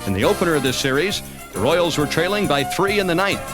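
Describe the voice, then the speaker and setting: An American man reading scripted sports documentary narration as the narrator of a highlight film. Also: animated, fast. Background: background music.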